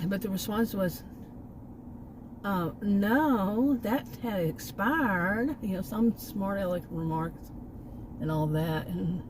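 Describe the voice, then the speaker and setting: A woman's voice: lowish voice, noisy background. A middle-aged woman from Texas with a Texan accent, telling a rambling, emphatic story about a bad experience in casual vlog style, speaking from a car. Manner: exasperated, emphatic, rambling with asides. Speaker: vlogger. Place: in a car by a park.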